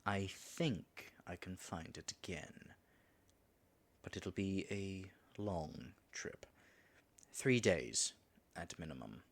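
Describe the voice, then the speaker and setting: A man's voice: low voice, expressive, slow, exaggerated voice. A man acting in an audio roleplay as a nervous male dragon disguised as a human guide, stammering and muttering asides to himself. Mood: persuasive, composed.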